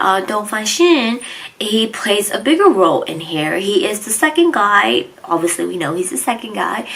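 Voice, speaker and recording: A woman's voice: medium pitch, a bit bright, rough recording. A young woman hosting her channel, recapping a TV drama in a casual, rambling way, full of "like" and "you know". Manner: rambling, filler-heavy, lots of "like" and "you know". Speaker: drama reviewer, channel host.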